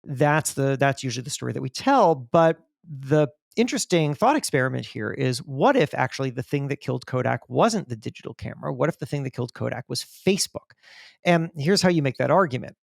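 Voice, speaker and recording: A middle-aged man speaks as a podcast guest in an emphatic storytelling way, repeating key phrases to drive points home. His voice has noticeable creak, and the sound is dry and close. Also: medium pitch, animated, a little fast, no echo. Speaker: podcast guest, magazine editor and author. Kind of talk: animated storytelling in an interview.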